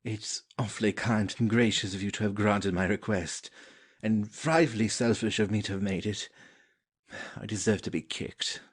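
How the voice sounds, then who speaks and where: A man acts a lovesick young gentleman in a period stage play for audio. The voice is fairly low with a husky grain, and the manner is earnest, well-mannered and pleading. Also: moderate pace. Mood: polite, nervous. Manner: pleading, hesitant, polite.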